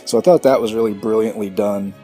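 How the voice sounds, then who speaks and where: A man's voice: lowish voice, steady tone, quick pace, mellow, soft background music. A man giving a casual, off-the-cuff spoken review as a podcast listener. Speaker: listener contributing a recorded review.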